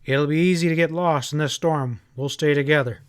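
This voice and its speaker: A man's voice: on the high side, fairly quick, clean tone, lightly acted. A man voicing a weary, practical country father in an audiobook, calling out as if over a storm. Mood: firm. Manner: raised, shouting over wind.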